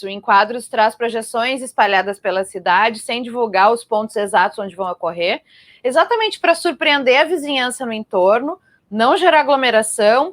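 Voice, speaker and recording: A woman's voice: a little high, a bit bright, no room sound. A Brazilian woman hosting and interviewing in a conversational style on a live arts programme.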